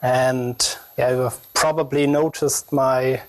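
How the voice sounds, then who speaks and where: A young man with a German accent giving a technical conference presentation as a researcher, speaking in halting, non-native English phrasing. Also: mid-range voice, level tone, no echo.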